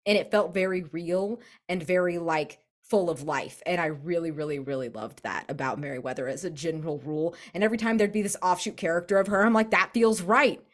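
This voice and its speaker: A woman's voice: lowish voice, brisk, few pauses. Gushing, effusive woman chatting casually. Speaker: tabletop role-playing game player. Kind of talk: casual post-game chat.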